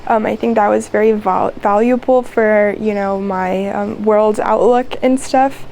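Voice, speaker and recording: A woman's voice: medium pitch, steady tone, measured pace, gravelly, a little mellow, a little hiss or hum, rough recording. A young American woman in her twenties, answering interview questions in a casual, hesitant way, with lots of ums and likes. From United States. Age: 20s. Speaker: interview guest.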